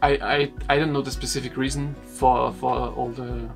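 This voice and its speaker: A young man, a sailing vlogger, explaining a boat project to camera in a slow, halting way, repeating words, seated in a wooden boat cabin. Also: medium pitch, little pitch movement, gravelly, over music.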